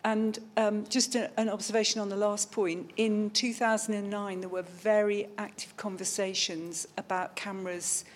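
A woman in the audience asking a panel a measured, articulate question. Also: mid-range voice, flat delivery. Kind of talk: question from the floor.

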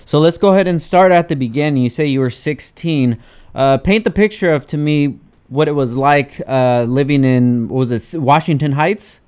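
Smooth-voiced relaxed male interviewer. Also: on the high side, moderate pace. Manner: relaxed, encouraging, says "man" often. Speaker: interview host. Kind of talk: casual interview.

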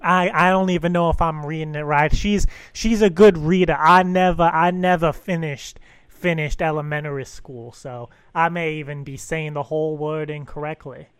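A man's voice: on the high side, fairly even intonation, clean tone, no room sound, natural voice. A man playing a bumbling, barely literate father on a prank call, earnest, stuttering and repeating his words. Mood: bumbling, apologetic. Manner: halting, stuttering repetition of words. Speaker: prank caller. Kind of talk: improvised prank phone call.

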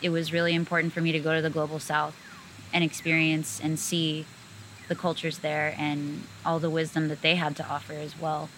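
A woman from the United States talks in a casual conversation, fluent and earnest, with a fair number of "like" fillers. Her voice sits low but has a bright, clear edge. A faint hiss runs under the recording. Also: completely flat delivery, moderate pace, poor audio.

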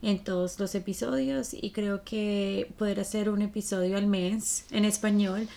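A woman's voice: mid-range voice, fairly even intonation, unhurried, creaky, mellow. A woman in her late twenties from Colombia, with a Colombian accent, talking casually as a podcast co-host.

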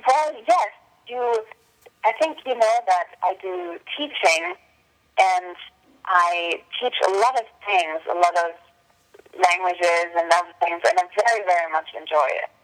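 A woman's voice: lowish voice, unhurried, rough recording. A woman whose first language is German, an actress and tutor, answering interview questions in an earnest, sensitive way with long flowing sentences.

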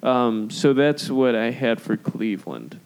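A man's voice: mid-range voice, expressive, unhurried, a little mellow, smooth, low-quality recording. A man co-hosting a casual podcast chat. He is losing his voice, laughs often, and reads review quotes aloud. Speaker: podcast co-host.

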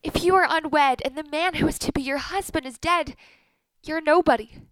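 A woman's voice: sits high, normal speed, a bit bright, dry recording. A woman playing a blunt, sardonic middle-aged wife with a bitter tongue in a radio play. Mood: pressing, harsh.

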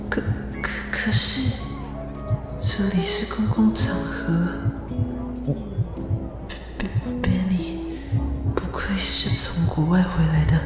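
Man acting a flustered, lovestruck young man, very expressive. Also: high voice, echoey room. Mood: flustered, smitten. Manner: stammering, dreamy, easily flustered. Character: sweet, flustered, lovestruck young male dentist. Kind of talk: romantic radio drama acting. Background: prominent music.

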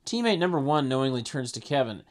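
Deadpan man reading stage directions. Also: medium pitch, fast. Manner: deadpan, mocking asides. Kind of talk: sarcastic table read of a sitcom script.

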